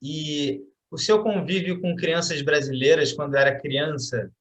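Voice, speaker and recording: An interviewer asking calm questions and giving brief affirmations. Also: medium pitch, measured pace.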